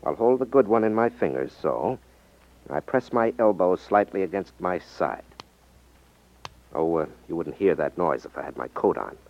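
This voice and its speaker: An actor playing a confident, wry ex-magician turned detective in a 1950s radio drama, explaining things with self-assurance. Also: male voice, deep voice, lively intonation, normal speed. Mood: showing off. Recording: dull, dry 1950s radio recording.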